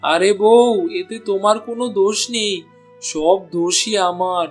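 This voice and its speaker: A man's voice: high-pitched, unhurried. A man voicing an honest, humble village butcher in a cartoon, with an earnest and plaintive way of talking. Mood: earnest, hopeful. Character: honest, downtrodden village meat seller, a husband. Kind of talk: Bengali cartoon dialogue. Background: soft music.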